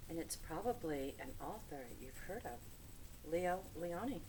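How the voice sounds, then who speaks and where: A woman reading a children's picture book aloud with dramatic, deliberate phrasing, speaking to young listeners. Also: low voice, poor audio. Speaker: read-aloud storyteller. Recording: steady background noise.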